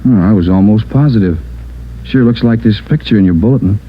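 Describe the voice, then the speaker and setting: A man playing a folksy, eager American morgue attendant who is a little muddled. His voice is lowish, in vintage radio drama style, muffled over faint hiss. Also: a little fast, natural voice. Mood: eager, insistent. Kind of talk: 1940s-50s radio drama acting.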